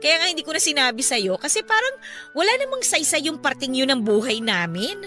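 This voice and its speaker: A woman's voice: high voice, very animated, a little fast, sharp tone, soft background music, exaggerated voice. An actress playing a breezy, frank young woman talking about her past in a radio drama. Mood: casual, candid.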